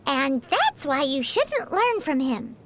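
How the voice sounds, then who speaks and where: A woman's voice: high voice, very animated, takes it slowly, a bit bright, exaggerated voice. A woman voicing a tiny, cheeky floating companion creature in a video game, bubbly and teasing, talking about herself in the third person. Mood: playful.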